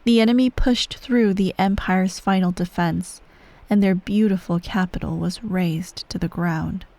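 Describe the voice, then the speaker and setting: A woman playing the cold, manipulative ruler of an evil empire and stern parent in an ASMR bedtime roleplay, speaking in a measured, condescending way that often softens to a near-whisper. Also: fairly low pitch, fairly even intonation, no echo. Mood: grave, ominous. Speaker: voice actor in a roleplay audio. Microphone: close microphone.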